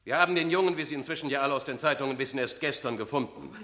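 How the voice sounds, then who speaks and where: An actor playing a harried, formal official who insistently calls an unruly crowd to order in a radio play. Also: male voice, medium pitch, very fast, lightly acted. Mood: explanatory, measured. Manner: formal, insistent, repeatedly calling for quiet. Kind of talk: 1970s German radio play acting. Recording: clamouring crowd behind the voice. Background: noisy crowd in a hall.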